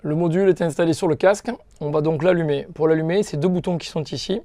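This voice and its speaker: A man's voice: a little high, fast talker, mellow, no echo. A man giving an informal, step-by-step product tutorial as a shop presenter, explaining things directly to viewers.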